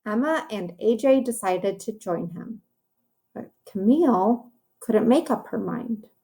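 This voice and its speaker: A woman's voice: mid-range voice, expressive, a little slow, mellow. A woman teacher reading a story to young children, speaking in simple, instructive phrases with encouraging asides about the pictures. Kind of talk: read-aloud lesson for young children, with commentary on pictures.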